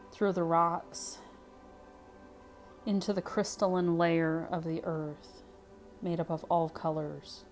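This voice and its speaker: A woman leading a guided meditation in a soothing, unhurried way, speaking phrase by phrase with pauses. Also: on the low side, a little mellow. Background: music.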